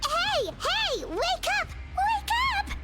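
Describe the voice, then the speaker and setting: A squeaky bubbly fairy sidekick. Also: female voice, huge pitch swings, slow, exaggerated voice. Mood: lively. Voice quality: squeaky, bubbly, talks of self by name. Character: tiny excitable female fairy companion. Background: loud game music.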